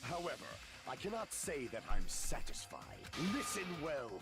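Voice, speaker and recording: An actor playing a pompous, theatrical young self-styled dark overlord in an anime video game, declaiming grandly. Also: male voice, a little high, very animated, normal speed. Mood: scornful, smug. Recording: loud game music behind voice.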